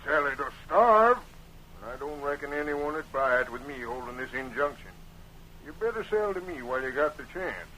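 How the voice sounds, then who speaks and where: A scheming, self-satisfied American neighbor from an old Western radio serial. His voice is bright, forceful and slightly high, talking in rustic frontier phrasing. Faint noise of an aged 1930s broadcast sits over it. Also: lively intonation, moderate pace. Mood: gloating. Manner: rustic Western drawl wording, smug and unyielding. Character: greedy, scheming male neighbor in the Old West. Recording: noisy 1930s radio recording.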